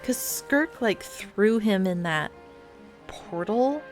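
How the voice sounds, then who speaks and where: A woman's voice: medium pitch, lively intonation, takes it slowly. A woman hosting a gaming video, giving wry, casual reaction asides over the game. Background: game music.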